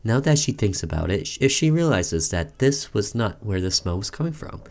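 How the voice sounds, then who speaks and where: A man's voice: fairly low pitch, big pitch swings, normal speed, a little mellow. A man doing let's play commentary, reading a visual novel aloud theatrically and breaking off for offhand asides.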